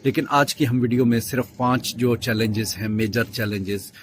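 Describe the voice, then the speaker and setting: A man's voice: mid-range voice, steady tone, fairly quick, noisy background. A man giving casual advice as a video host, with many fillers and restarts.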